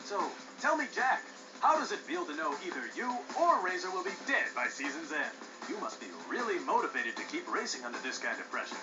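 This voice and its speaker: A man's voice: sits high, big pitch swings. A man playing a bombastic, egotistical, bloodthirsty racing TV host in a video game, with showy, hyped announcing. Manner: showy, hyped, drawn-out delivery. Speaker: sports show host. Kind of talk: over-the-top sports broadcast announcing. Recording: dull game audio, loud music behind.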